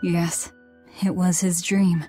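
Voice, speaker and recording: A woman's voice: lowish voice, little pitch movement, takes it slowly, warm tone, faint music behind. A woman playing a bitter young swordswoman in a video game, terse and guarded in manner. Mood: subdued, wistful. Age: young adult.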